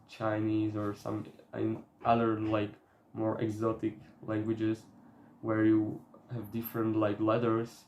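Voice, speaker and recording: A young Slovak man vlogs in accented English, hesitant and searching for words. His delivery stays flat and level. The sound is roomy and echoing. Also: lowish voice. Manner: halting, many fillers and restarts. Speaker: vlogger. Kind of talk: casual talk to camera. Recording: echoey room.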